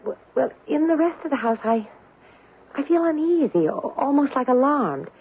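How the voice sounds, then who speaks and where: A woman's voice: sits high, big pitch swings. A woman playing an anxious young mother in a haunted house, speaking hesitantly in a radio drama. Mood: uneasy, hopeful. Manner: hesitant, halting, stammering. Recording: tinny, noisy old radio broadcast.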